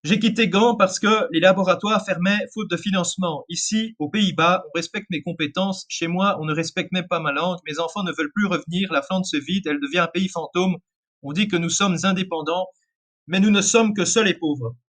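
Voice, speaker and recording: A man's voice: high-pitched, a little fast, a bit bright. A man reading the testimony of an embittered researcher who left his country, in his own voice. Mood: bitter. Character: embittered emigrant male researcher.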